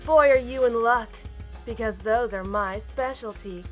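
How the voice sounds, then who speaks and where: A woman's voice: fairly high pitch, unhurried, faint music behind, natural voice. A woman playing a sassy, self-assured female bat treasure hunter in an audio drama, with a sceptical manner. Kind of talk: fan audio drama acting.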